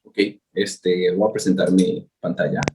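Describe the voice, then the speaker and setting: A man's voice: low voice, little pitch movement, a little slow, vocal fry, warm tone, slight background noise. Hesitant Mexican man presenting. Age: 30s. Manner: hesitant, many fillers and restarts. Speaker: guest presenter. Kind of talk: webinar presentation. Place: home, working remotely in Japan. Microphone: video call.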